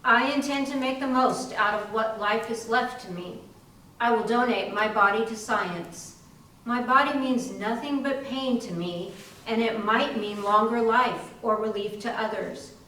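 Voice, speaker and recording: A woman's voice: on the high side, measured pace, sharp tone. A woman playing an ailing young working woman, resigned but resolute, in a readers theater narration. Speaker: community theatre performer. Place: library readers theater performance, indoors. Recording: very echoey hall, distant, faint hiss.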